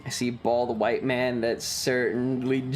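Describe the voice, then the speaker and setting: A man streamer talking casually and rambling through his material, halting often with stretched pauses. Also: medium pitch, little pitch movement, faint music behind. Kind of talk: casual livestream chat over trip photos and videos.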